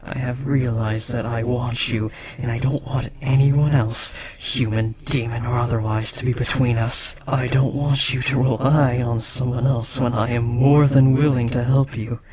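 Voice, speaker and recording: A man voicing a powerful, possessive and devoted demon in an intimate audio roleplay, speaking formally and intensely with dramatic pauses. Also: medium pitch, fairly even intonation, a little mellow, lightly acted.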